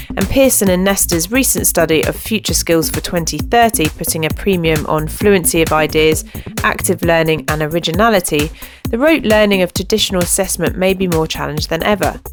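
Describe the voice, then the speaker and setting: A woman's voice: fairly low pitch, fairly quick. Woman host reading over music. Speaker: podcast host. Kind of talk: scripted podcast narration.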